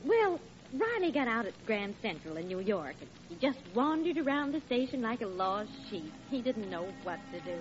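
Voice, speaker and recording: An actress playing a good-humored wife telling a story in a chatty, fond way, in 1940s radio comedy. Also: female voice, fairly high pitch, sing-song, moderate pace, few pauses, music in the background. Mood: amused, reminiscing. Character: good-humored wife recounting her honeymoon mishap. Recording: muffled, faintly hissy vintage radio.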